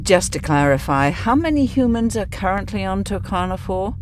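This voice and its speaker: A man's voice: sits high, very animated, moderate pace, slight background noise. A man playing an analytical, sceptical starship officer in a sci-fi audio drama, speaking in a precise, inquiring way.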